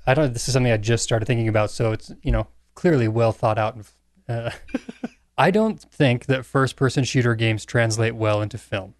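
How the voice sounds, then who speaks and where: Man, medium pitch, dry recording, thoughtful casual podcast talk, measured explanations. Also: no room sound. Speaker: podcast panelist. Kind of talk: casual film and game discussion.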